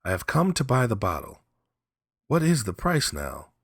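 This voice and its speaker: A man's voice: mid-range voice, huge pitch swings, normal speed, slightly rough, no echo, clean studio sound, voice pushed up, full character voice. A man voicing a brooding, determined man who speaks in an earnest, plain, insistent way. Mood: earnest insistence. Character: brooding, determined Hawaiian man seeking a bottle.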